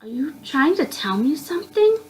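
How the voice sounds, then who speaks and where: A woman's voice: high-pitched, sing-song, moderate pace, slight background noise, voice pushed up, low-quality recording. A woman voicing a bewildered, easily annoyed young male visual novel protagonist, exclaiming in confusion. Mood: confused.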